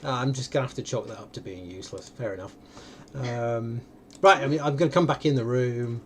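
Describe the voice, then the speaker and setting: Casual male host for an online Q&A, mid-range voice, hesitant delivery that circles back, noise on the line. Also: mid-range voice. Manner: hesitant, halting, circles back and repeats phrases. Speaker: film-maker hosting a live online question session. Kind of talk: casual unscripted question-and-answer talk. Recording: clearly noisy live stream audio.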